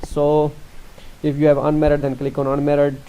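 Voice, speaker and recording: A young man from Pakistan with a Pakistani accent, explaining an online form step by step, halting often with fillers and restarts. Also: fairly high pitch, monotone, background noise. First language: Urdu. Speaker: tutorial presenter. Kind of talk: screen-recorded walkthrough.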